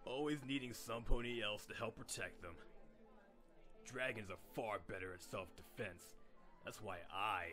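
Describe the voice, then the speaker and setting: A man's voice: on the low side, normal speed, bright, forceful tone, soft background music. A man voicing a cocky, surly young cartoon dragon who talks in a dismissive, scoffing way. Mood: scornful.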